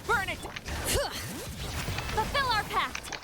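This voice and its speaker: A woman's voice: extremely high pitch, expressive, slow pace, over loud music, heavily acted. A woman voicing a fierce, fiery young fighter calling out attacks in a video game. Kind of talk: video game battle barks.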